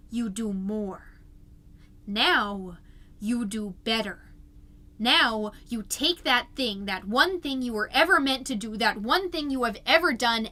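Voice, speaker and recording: Hypnotic self-help narrator, played by a woman, high clear-cut bright tone, deliberate incantatory phrasing, quietly ominous podcast comedy. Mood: insistent, menacing. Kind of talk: mock inspirational reading.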